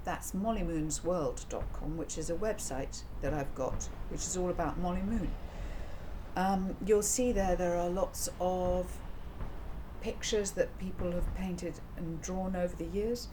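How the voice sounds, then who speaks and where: Woman, author addressing listeners, slow pace, musing and informal, frequent pauses, clear background noise. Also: on the low side. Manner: unhurried, musing, pausing often. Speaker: author and reader of her own book, channel host.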